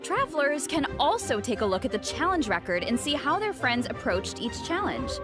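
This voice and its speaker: Animated woman hosting game updates, highish voice, swings around a lot, music under. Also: moderate pace. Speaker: co-host of a video game news program. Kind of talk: scripted presenting with banter. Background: background music.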